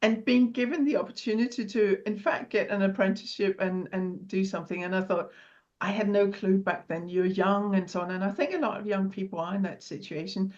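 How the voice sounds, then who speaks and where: A woman's voice: mid-range voice, fairly even intonation, brisk, a little mellow. Woman, Danish accent, middle-aged, laughs a lot, podcast guest, slightly muffled lo-fi recording. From Denmark. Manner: laughs often, cheerful storytelling. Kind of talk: casual interview chat.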